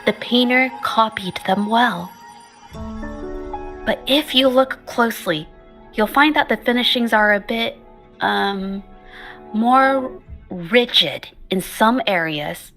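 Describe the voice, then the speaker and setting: A gentle, shy young woman painter from a video game. She speaks softly and hesitantly, trailing off mid-thought, in a fairly high voice. Game music plays behind her. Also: takes it slowly, pauses often. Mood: puzzled. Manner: soft-spoken, hesitant, trailing off.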